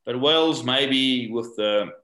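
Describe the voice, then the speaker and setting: An older man from South Africa, English not his first language, answering interview questions in a halting way and often repeating words. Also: medium pitch, sharp tone, echoey room. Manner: halting, repeats words while searching for them. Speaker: guest, rugby coach. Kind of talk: podcast interview.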